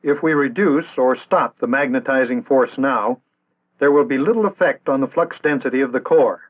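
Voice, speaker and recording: An American man reading scripted instructional narration in a measured, formal, didactic way, in the style of an early 1960s training film. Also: medium pitch. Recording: tinny band-limited vintage 1960s film soundtrack.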